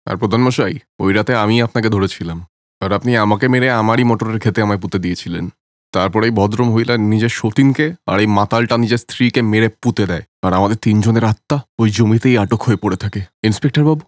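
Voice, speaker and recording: Cartoon ghost, male actor: murdered shopkeeper back for justice, low-pitched, solemn, accusing, dry sound. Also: fast, no room sound, natural voice. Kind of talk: cartoon story voice acting.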